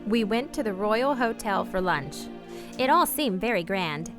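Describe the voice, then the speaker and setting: A woman's voice: mid-range voice, expressive, measured pace, music in the background. An actress in a radio play playing an anguished, guilt-ridden married woman, genteel and emotional in manner. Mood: wistful confession. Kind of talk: radio play acting and narration.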